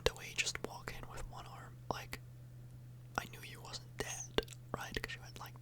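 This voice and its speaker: A woman speaking in a breathy whisper, rambling casually for an ASMR video, close to the microphone and often tagging on questions. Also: moderate pace. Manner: casual, meandering, frequent tag questions.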